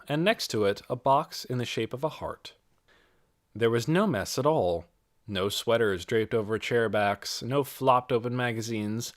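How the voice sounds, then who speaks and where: A man narrating a novel as an audiobook reader, with careful, expressive storytelling delivery. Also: medium pitch.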